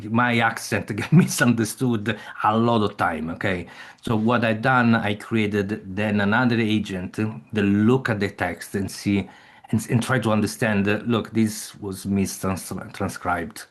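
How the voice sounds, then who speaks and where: A man leading an online meeting, speaking haltingly with frequent restarts and non-native phrasing. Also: lowish voice. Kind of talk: casual discussion.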